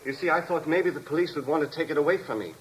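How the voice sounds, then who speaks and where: Guy acting crazy escaped convict in old radio show, polite and creepy calm, kinda high voice, bit of hiss. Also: quick pace, lightly acted. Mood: calmly menacing. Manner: polite, composed, coldly precise. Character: deranged, calmly menacing escaped male convict with a bomb. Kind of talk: 1950s radio drama acting. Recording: noisy vintage radio recording.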